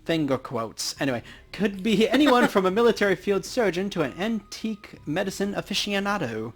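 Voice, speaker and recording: A man's voice: high-pitched, big pitch swings, brisk, full character voice. A man voicing a dry, precise, principled dwarf coroner in a video game. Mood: explanatory. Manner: precise, wry, matter-of-fact. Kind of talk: hobby voice acting for a game's dialogue.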